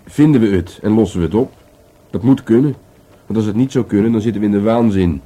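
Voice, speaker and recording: Actor as a low, husky, musing police detective. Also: male voice, quick pace, mellow, close to an everyday voice. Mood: earnest. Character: musing, wry male police detective, philosophical and intimate. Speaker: actor in a radio play. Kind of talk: Dutch radio drama dialogue.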